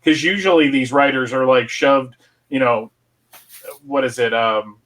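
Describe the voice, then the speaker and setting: A man's voice: medium pitch, a little slow, fairly bright tone. A man explaining casually as a panelist, searching for words in a stop-start way. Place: remote online panel recorded at home.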